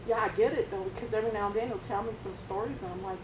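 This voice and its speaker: A woman sharing her family's story on a panel after a film screening, talking in an earnest, heartfelt, exclamatory way. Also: racing. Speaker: relative of a veteran speaking on a panel. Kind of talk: emotional personal sharing. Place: large room at a film screening. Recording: echoey hall with background noise and rumble.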